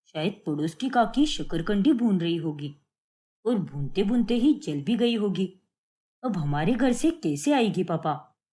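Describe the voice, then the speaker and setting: A young cartoon son, voiced by a woman, agreeable yet cheeky. The speech is playful and knowing, with animated, varied intonation. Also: mid-range voice, measured pace, voice pushed down, lightly acted. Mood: teasing.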